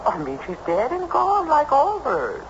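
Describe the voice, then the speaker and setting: An actress in an old radio drama playing a plain-spoken, sceptical housewife with a folksy, blunt way of talking. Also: female voice, high-pitched, huge pitch swings, unhurried, exaggerated voice. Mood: blunt, incredulous. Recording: noisy dull old radio recording.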